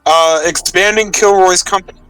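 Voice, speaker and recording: A man chats as a player in a tabletop game, informal and a little hesitant. His slightly high voice sounds a bit away from the mic, with faint music behind. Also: steady tone, moderate pace, a bit bright, clean tone, no room sound, recorded from a distance, poor audio. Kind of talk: casual tabletop roleplay chat.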